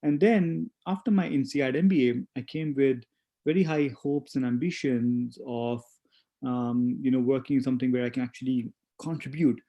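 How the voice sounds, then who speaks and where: A man in his forties who grew up in India and speaks English as a second language, reflecting slowly with many pauses and fillers as an interview guest. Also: mid-range voice, steady tone, mellow. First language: not English. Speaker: guest, spa owner. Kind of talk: casual interview conversation.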